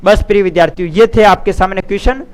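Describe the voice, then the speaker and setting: A man's voice: high-pitched, quick pace, smooth. A man teaching an exam lesson, reading out answers and explaining them informally, repeating phrases and checking that students follow. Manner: didactic, repeats phrases, frequent check-in tags. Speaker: teacher, online exam coach.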